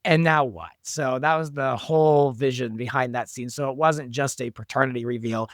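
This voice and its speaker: A man's voice: medium pitch, normal speed, dry recording, studio quality. A man talking as an interview guest, a producer explaining his work in an informal, enthusiastic way. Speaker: guest, show creator and producer. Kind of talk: casual interview chat.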